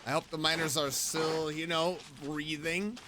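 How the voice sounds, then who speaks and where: A man's voice: a little high, fairly even intonation, measured pace, noisy background. A man streaming a video game with casual, self-directed, exclamatory commentary. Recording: game music behind.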